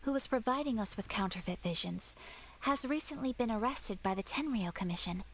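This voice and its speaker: A woman voicing a composed, courteous young noblewoman in a video game, speaking in a formal, measured way. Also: a little high, lively intonation, poor audio. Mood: calm.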